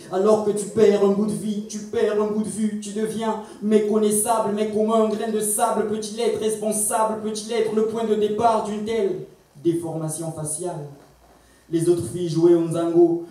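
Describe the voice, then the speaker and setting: High-voiced slam poet, man, echoey hall. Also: dark tone. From Congo. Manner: solemn, impassioned, rhythmic delivery with pauses. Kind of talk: dramatic slam poetry recitation on stage. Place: indoor performance venue. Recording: echoey hall, distant microphone.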